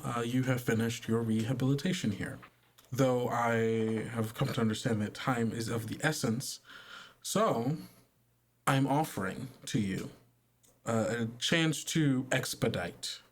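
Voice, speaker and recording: A man playing a gentle but insistent female religious authority, speaking formally, haltingly and patiently in a tabletop game. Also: mid-range voice.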